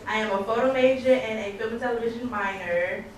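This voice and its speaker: A woman's voice: a little high, a little fast. Casual young woman from Atlanta. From Atlanta, Georgia. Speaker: student panelist. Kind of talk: panel self-introduction. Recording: echoey hall, distant mic, room noise.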